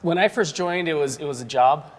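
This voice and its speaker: A middle-aged man from Hawaii with an American accent, explaining earnestly and clearly as an interview guest, in an orderly, articulate way. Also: a little high, fairly quick, slight background noise. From Hawaii, United States. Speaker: guest, youth scouting organization professional. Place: reverberant plaza show set. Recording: long reverberant hall sound.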